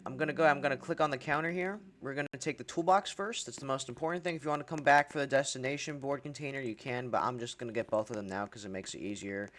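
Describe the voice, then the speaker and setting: A man's voice: mid-range voice, fairly bright tone. Chatty young man narrating a game tutorial. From Hungary. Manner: chatty, wry asides, frequent ums. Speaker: gaming tutorial creator. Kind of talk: casual game tutorial voice-over.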